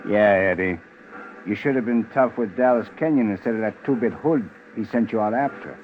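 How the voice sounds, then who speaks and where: An actor playing a taunting, hard-boiled police detective with sarcastic delivery in a 1950s radio crime drama. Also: male voice, on the low side, moderate pace, highs cut off, close to an everyday voice. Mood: mocking. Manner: sneering, sarcastic. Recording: thin, noisy old radio broadcast.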